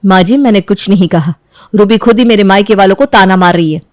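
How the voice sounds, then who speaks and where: A woman playing a young daughter-in-law in a story, firm and measured, polite but standing her ground. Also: mid-range voice, dry recording, clean studio sound, lightly acted. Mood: restrained, firm.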